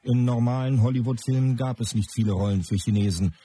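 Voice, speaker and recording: An actor playing a matter-of-fact documentary narrator in a radio play, with a very husky voice. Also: male voice, mid-range voice, fast, warm tone, close to an everyday voice. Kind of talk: scripted narration in radio drama.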